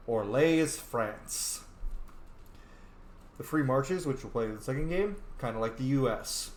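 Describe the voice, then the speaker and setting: A man's voice: medium pitch, slight background noise. Enthusiastic man talking in a roomy space. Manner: enthusiastic, casual, swears freely. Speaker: game player and host. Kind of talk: gameplay commentary. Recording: roomy echo.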